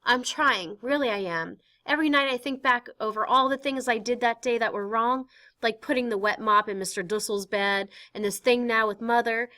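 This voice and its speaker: An anxious, intense teenage girl in hiding, as a woman reading a play puts her on. Her voice sits high and bright, pouring out pleading, confessional words. It is a stage play read aloud. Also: normal speed.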